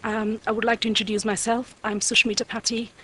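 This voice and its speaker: A woman with a croaky voice giving a formal presentation to a council as an arts company director. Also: on the high side, little pitch movement, a little fast, fairly bright tone, a little hiss or hum. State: croaky voice, needing water. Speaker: artistic director of a dance company, guest speaker. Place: council meeting room.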